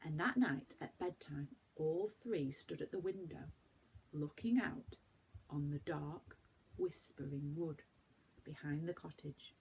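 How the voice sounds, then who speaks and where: A woman reading a children's story aloud in an expressive, deliberate storytelling manner. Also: low voice, slight background noise, poor audio.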